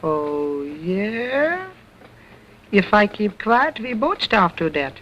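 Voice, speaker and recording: A man doing a fresh-mouthed little boy dummy who sasses back in broken English, his voice swooping up and down with drawn-out words. It comes through a dull, lo-fi old recording. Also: high voice, sing-song, fairly bright tone, slight background noise, pitched above the performer's own voice, heavily acted. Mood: impudent. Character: cheeky wisecracking boy ventriloquist dummy. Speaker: ventriloquist's dummy. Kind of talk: vaudeville ventriloquist routine.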